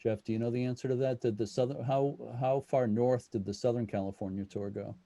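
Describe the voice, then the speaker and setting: A man's voice: medium pitch, steady tone, a little fast, dark tone, no room sound. A man casually moderating a question session on a video call as the host. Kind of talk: casual moderating of an online meeting.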